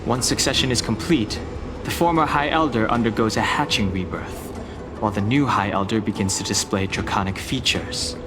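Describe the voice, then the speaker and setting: A man voicing a reserved, earnest young dragon warrior in a video game, speaking in a formal, measured and serious manner. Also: fairly low pitch, background noise, close to an everyday voice. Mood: solemn, explanatory.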